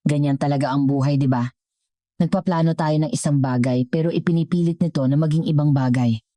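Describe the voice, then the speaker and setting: A woman with a Filipino accent voicing a humble, gentle mother, polite and heartfelt in storybook dialogue. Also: sits low, steady tone, brisk, no room sound, natural voice. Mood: thoughtful. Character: humble, gentle poor mother, wise about love.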